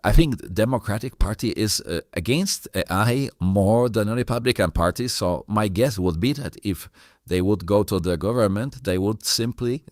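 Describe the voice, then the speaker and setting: Male interview host, very deep pitch, halting casual delivery with repeated words. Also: no echo, clean studio sound. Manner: halting, repeats words, non-native phrasing. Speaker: host of a tutorial channel.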